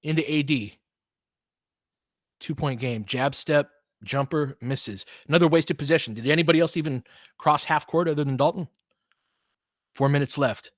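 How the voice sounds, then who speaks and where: A man's voice: a little high, normal speed, pauses often, dry recording, studio quality. A man giving informal, partisan live basketball commentary as a host, reacting openly to the plays like a fan.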